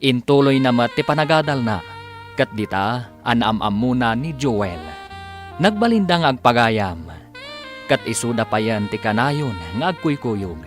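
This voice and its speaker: A man narrating a radio drama serial as announcer, with emphatic, deliberate, dramatic delivery. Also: medium pitch, expressive, faint music behind.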